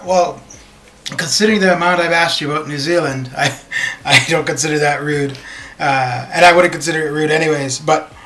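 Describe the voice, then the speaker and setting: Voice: medium pitch, fairly bright tone. A streamer in their thirties from northern Canada, chatting casually with viewers in a rambling, hesitant way with many fillers. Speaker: game streamer. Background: faint background music.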